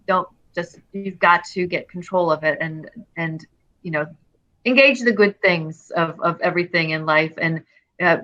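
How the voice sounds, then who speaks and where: A middle-aged woman talking as an interview guest in an earnest, expressive way, often restarting her phrases. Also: fairly low pitch, dull audio. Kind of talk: casual interview conversation.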